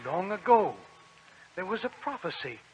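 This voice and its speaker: An actor playing a tender, worried uncle in an old radio drama, speaking with gentle concern. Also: male voice, high-pitched, big pitch swings, moderate pace, a little hiss or hum. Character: Uncle Durand, a gentle, worried older peasant man. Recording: dull old radio recording with music.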